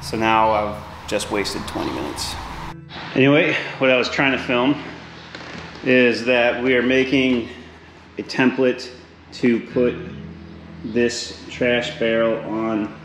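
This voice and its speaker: A man's voice: medium pitch, fairly even intonation, slow pace, pauses often, a bit bright, slight background noise. Echoey, casual handyman vlogger. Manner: informal, hands-on explaining while working. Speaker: DIY renovation vlogger. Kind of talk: casual how-to vlog narration. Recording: echoey room, music underneath.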